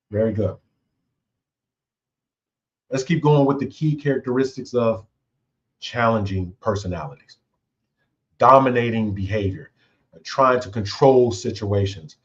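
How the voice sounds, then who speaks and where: A man's voice: mid-range voice, lo-fi sound. A man hosting a casual talk on leadership who speaks conversationally and keeps checking in with "right?". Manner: conversational, repeats "right?" often. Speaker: host.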